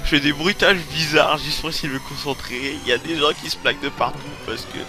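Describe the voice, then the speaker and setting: A man with a stuffy cold giving excitable, swearing commentary over his own racing gameplay. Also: a little high, a little fast. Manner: excitable, swearing, yelps and laughs while playing. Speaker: let's play host. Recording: game music over voice. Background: loud game music.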